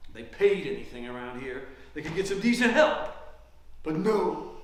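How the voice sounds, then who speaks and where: A man's voice: fairly high pitch, very animated, normal speed. An actor playing a cantankerous older man with an explosive temper, grumbling and sarcastic in a naturalistic stage drama. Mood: bitter. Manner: grumbling, sarcastic, prone to profane outbursts. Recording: very echoey room, faint noise.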